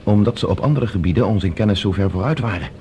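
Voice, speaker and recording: An actor playing a calm, reasoning space expedition leader with a measured, decisive way of explaining, in a science-fiction radio drama. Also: male voice, lowish voice. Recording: noisy old radio drama recording.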